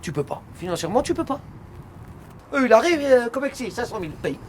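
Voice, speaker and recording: A man of forty from France, chatting in slangy, animated bursts about cross-border work. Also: very high-pitched, quick pace, slight background noise. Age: 40s. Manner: animated, slangy, talks over others. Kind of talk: casual chat.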